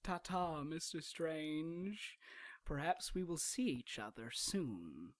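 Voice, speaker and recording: Slow, taunting, gloating villainess. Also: female voice, sits low, lively intonation. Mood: mocking. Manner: taunting, theatrical, drawn-out delivery. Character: gloating villainess, smug imprisoned would-be ruler. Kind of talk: radio drama acting.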